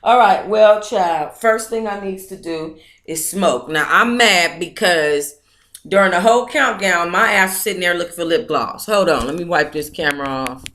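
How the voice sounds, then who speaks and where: A woman's voice: lowish voice, moderate pace, fairly bright tone. Playful woman hosting a casual livestream. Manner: playful, informal, slangy. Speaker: host of an online book club livestream. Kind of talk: casual livestream chat to viewers. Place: room at home.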